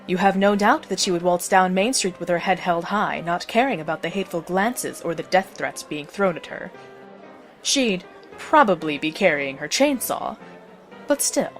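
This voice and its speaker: A woman's voice: on the low side, expressive, normal speed, faint music behind. A woman narrating a scripted story as the narrator of an audio drama.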